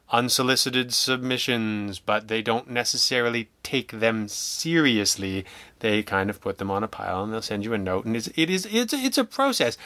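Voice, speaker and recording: A man speaking as a guest in a casual interview, wry and self-deprecating, telling things in an animated way. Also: medium pitch, no echo. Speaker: interview guest, audio drama writer and producer.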